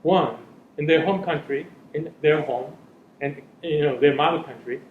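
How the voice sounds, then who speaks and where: A man's voice: on the high side, moderate pace, a little hiss or hum. Middle-aged man, echoey room. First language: Korean. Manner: earnest, searching for words in a second language. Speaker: panelist, parent. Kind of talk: panel discussion. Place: school hall or room. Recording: echoey room, slightly dull sound.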